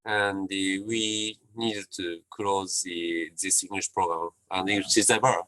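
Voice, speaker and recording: A man's voice: sits low, flat delivery, unhurried, bright, forceful tone, no room sound, poor audio. A man with a Japanese accent, co-hosting a casual chat and searching hesitantly for English words. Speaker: co-host. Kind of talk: casual chat over drinks.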